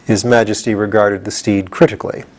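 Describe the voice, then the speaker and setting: A man narrating a storybook as an expressive reader and host. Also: lowish voice, brisk.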